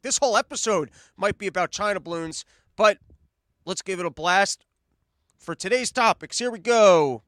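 Brief: high-voiced male podcast host, foul-mouthed and rambling, dry close mic with faint music underneath. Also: fairly bright tone, dry recording. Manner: brash, profane, rambling riffs. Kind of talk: solo comedic live-stream podcast. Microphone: studio mic on a live stream.

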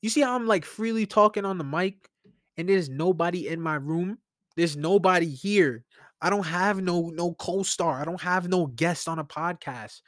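A man's voice: high-pitched, normal speed, a bit bright, no room sound, studio quality. A man hosting a solo podcast alone in his room, thinking aloud in a rambling, conversational way into a mic with headphones on. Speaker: podcast host. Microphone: studio mic with headphones.

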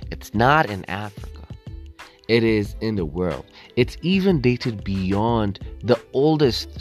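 A young man from Ethiopia hosts his own podcast alone, his lowish voice wandering through fillers, restarts and self-deprecating asides. He keeps a casual, chatty tone. Faint music sits behind him. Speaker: podcast host. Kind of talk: solo casual podcast monologue.

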